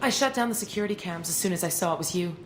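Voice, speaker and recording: A woman playing an anxious lab scientist in a video game, chatty, hurried and self-justifying. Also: fairly low pitch, lively intonation, lightly acted. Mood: relieved. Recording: echoey room with background ambient noise.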